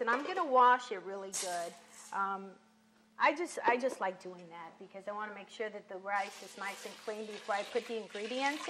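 A woman's voice: fairly high pitch, a bit bright, slight background noise. A young woman hosting a cooking show from a home kitchen, explaining steps in a chatty, instructive way. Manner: chatty, instructive, says okay often. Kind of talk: cooking demonstration.

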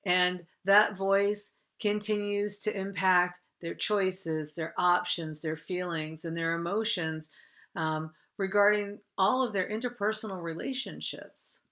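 A middle-aged woman hosting an interview on a video call, summarizing reflectively with careful, halting phrasing. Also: on the low side, fairly even intonation, a bit bright. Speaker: interview host.

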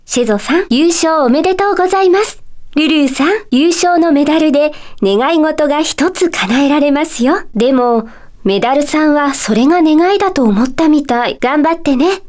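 A woman voicing a polite, gentle and mysteriously calm magic teacher in a video game, with composed, kindly phrasing. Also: high voice, moderate pace, lightly acted. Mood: encouraging, composed. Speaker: teacher character in a puzzle game. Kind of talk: Japanese video game character dialogue.

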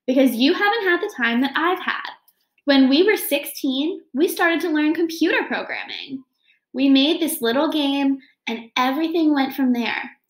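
Woman reader as a storybook heroine's older self: high, gentle, reassuring, in an echoey room. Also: lively intonation, normal speed. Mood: earnest, encouraging. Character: future adult self of a girl, gentle, knowing.

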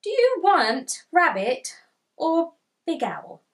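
A woman voicing a friendly young male dog in a children's storybook, with gentle, expressive phrasing. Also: very high voice, takes it slowly, voice pushed up, exaggerated voice. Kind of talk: children's storybook character read aloud.

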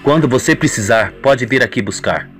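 A man voicing a shady, menacing young male accomplice with gruff, slangy talk in a radio soap. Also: mid-range voice, animated, normal speed, fairly bright tone, soft background music, lightly acted. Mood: guarded, complicit.

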